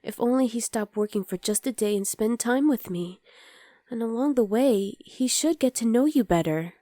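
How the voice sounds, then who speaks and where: A woman playing a young demon girlfriend in an ASMR roleplay, sweet but exasperated and rebellious, speaking intimately and softly to the listener as her partner. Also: on the high side, animated, normal speed, no room sound, clean studio sound, lightly acted. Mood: wistful, confiding. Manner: intimate, soft, often dropping to a whisper.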